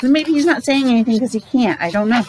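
A woman's voice: a little high, fast talker. Mature woman teacher reading aloud, noisy room. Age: middle-aged or older. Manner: simple explanatory asides and questions to children. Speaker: preschool or elementary teacher. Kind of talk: read-aloud storytime for young children with chatty asides. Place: classroom, indoors.

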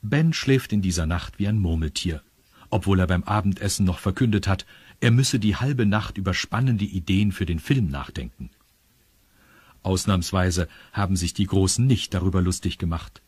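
Deep, husky male storyteller. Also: normal speed, a little mellow, no echo. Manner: confiding, addresses young listeners directly. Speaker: narrator. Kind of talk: scripted storytelling narration for children. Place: studio.

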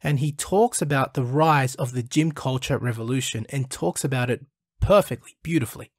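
A man's voice: mid-range voice, moderate pace, breathy edge, dry recording, clean studio sound. A man hosting his channel, reading an earnest, praising voice-over review of a documentary. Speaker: channel host reviewing a film.

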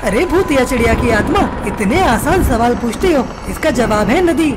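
A woman voicing an excitable, naive little boy bird in a children's cartoon, with an eager and boastful manner. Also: fairly high pitch, moderate pace, very noisy, close to an everyday voice. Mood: eager, cocky. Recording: background music under voice.

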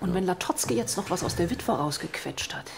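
A woman's voice: lowish voice, lively intonation, a little fast, vocal fry, noisy background. An actress playing a dry, assertive female investigator in a crime radio drama.

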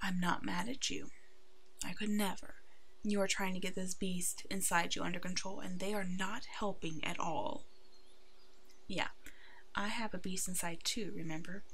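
A woman voicing a brave, protective werewolf in an ASMR roleplay, soothing and halting, with a soft, hushed delivery. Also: lowish voice, steady tone, slight background noise. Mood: tender, protective.

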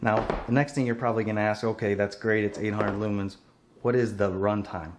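A man's voice: lowish voice, fairly even intonation, fairly quick, noisy background. A man giving a casual hands-on demo of work lights in his garage workshop, speaking plainly and repeating filler phrases. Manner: plain-spoken, repeats "et cetera there". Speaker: tool reviewer.

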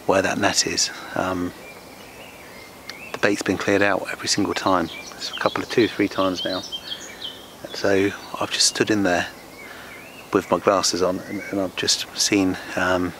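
A man chatting casually and informally to camera as a fishing vlogger. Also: deep voice. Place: outdoors on a wooded lake bank. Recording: outdoor nature noise behind voice.

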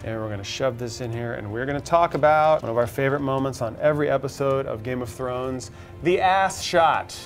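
A man's voice: medium pitch, steady tone, fast talker, few pauses. Guy hosting a cooking show, casual jokey chat, bit of music behind. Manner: joking, conversational. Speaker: host of a cooking and TV recap show. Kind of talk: casual banter while cooking. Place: studio set at a table, indoors.

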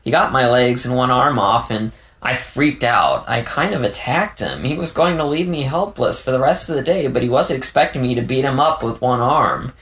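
A man's voice: medium pitch, normal speed, hardly any pauses, fairly bright tone, voice pushed up, natural voice. A man voicing a playful, candid young male fox in an audiobook story, rambling with hesitant stammers. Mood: flustered.

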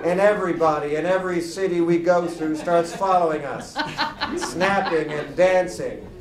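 Casting: male comic reader, highish pitch, roomy live sound a little off the mic. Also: moderate pace, crowd noise. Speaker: guest reader at a book party. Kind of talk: live comedic reading from a book. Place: indoor room at a live party. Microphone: microphone on a lectern stand. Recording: roomy live event, loud laughing audience, distant mic. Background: loud audience laughter.

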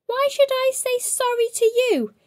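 A woman's voice: very high voice, measured pace, pitched above the performer's own voice. A woman voicing a big playground bully boy from a children's storybook, with exaggerated, swooping delivery. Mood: scornful.

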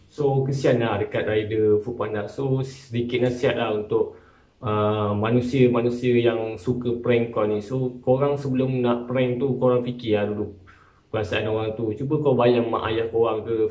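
A man's voice: medium pitch, darkish tone, far from the mic. A young Malaysian man gives casual commentary to camera in an informal, admonishing way. He tends to repeat his points. His voice sits a little away from the microphone in an echoey room. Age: young adult. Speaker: vlogger. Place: small bare-walled room indoors.

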